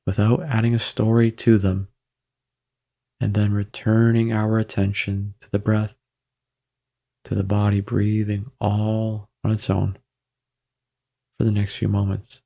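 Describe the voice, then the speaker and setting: A man guiding a meditation in a gentle, measured way, with frequent pauses between phrases. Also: fairly low pitch, level tone, vocal fry. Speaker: meditation guide. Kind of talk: guided meditation narration.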